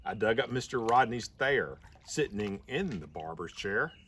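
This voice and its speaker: A man reading a rhyming children's story aloud in an expressive storybook style. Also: fairly low pitch, unhurried. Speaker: storybook reader. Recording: slightly distant mic, faint background noise.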